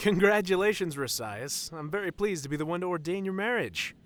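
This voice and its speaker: A man's voice: high-pitched, animated, brisk, a bit bright. A man playing a proud, playful wedding officiant with a theatrical, formal manner in an audio roleplay drama. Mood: proud, teasing.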